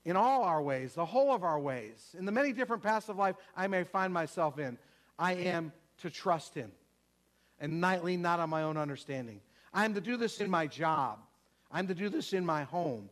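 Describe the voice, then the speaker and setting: A man's voice: on the high side, expressive, moderate pace, fairly bright tone. A man preaching a sermon emphatically, repeating phrases and putting questions to the congregation.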